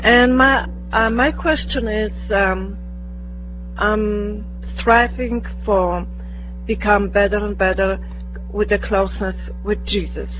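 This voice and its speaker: A woman's voice: mid-range voice, steady tone, slow pace, highs cut off, faint music behind. A woman from Poland with a Polish accent, asking a question from the audience hesitantly, searching for her words in English. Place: meeting room with a live audience.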